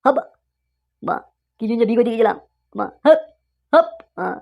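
A woman's voice: a little high, lively intonation, normal speed, creaky, a little mellow. A woman voicing a hot-tempered, exasperated young cartoon husband who scolds and blusters. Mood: fed up. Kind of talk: comic cartoon dialogue. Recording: dull lo-fi sound.

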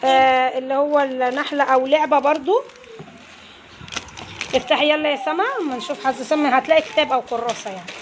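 امرأة مصرية سريعة الكلام بصوت عالٍ. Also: female voice, sits high, steady tone, quick pace, a little hiss or hum. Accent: Egyptian Arabic. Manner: chatty, coaxing the kids along. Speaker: channel host. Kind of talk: casual unboxing chat with children. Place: home, indoors, family around. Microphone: phone held by hand.

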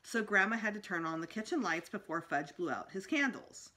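A woman's voice: medium pitch, expressive, fairly quick, pushed and bright. A middle-aged woman reading a children's book aloud expressively, as for a school storytime. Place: small indoor room at home.

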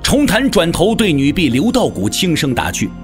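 A man's voice: fairly high pitch, huge pitch swings, moderate pace, faint music behind. A man narrating a martial-arts novel as an audio drama, with theatrical, scripted storytelling delivery.

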